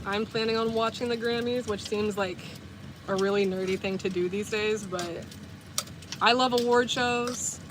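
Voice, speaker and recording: A woman's voice: mid-range voice, steady tone, normal speed, noisy background. A woman in her twenties from the US South, chatting casually with livestream viewers, rambling with lots of "like" and self-corrections. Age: 20s. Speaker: vlogger and livestreamer.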